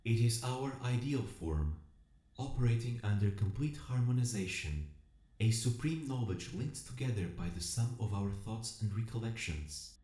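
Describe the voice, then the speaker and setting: A man voicing a vast collective machine intelligence in an audio drama, narrating in a solemn, measured, formal and coldly analytical manner. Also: mid-range voice, lively intonation, dark tone, close to an everyday voice. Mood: solemn, methodical. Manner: measured, formal, speaking as a plural we. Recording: roomy echo with music behind.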